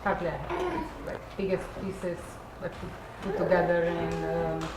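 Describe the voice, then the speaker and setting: A woman from Slovenia with a Slovenian accent, talking informally at a Q&A in halting non-native English, searching for her words. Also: fairly low pitch, big pitch swings. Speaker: puppet designer and puppeteer. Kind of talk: informal Q&A talk after a show. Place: echoey room at a live Q&A. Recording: roomy, noisy live event recording.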